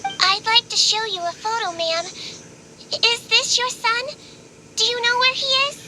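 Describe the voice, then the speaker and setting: A woman voicing a small, gentle, earnest nonhuman nurse in a video game, speaking politely and with measured care. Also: very high voice, noisy background. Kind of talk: scripted video game voice acting. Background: game music.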